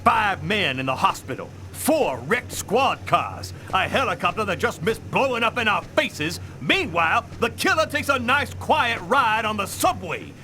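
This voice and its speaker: An actor playing an angry, sarcastic police captain who barks demands in a video game drama. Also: male voice, high voice, sing-song, a little slow, few pauses, pushed and bright, faint music behind, exaggerated voice. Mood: sarcastic, grim. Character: irate, blustering police captain, a man, gruff and sarcastic.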